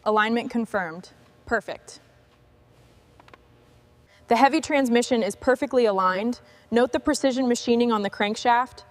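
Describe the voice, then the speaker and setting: A woman's voice: a little high, normal speed, pauses often. A woman giving scripted narration of a restoration job in short statements.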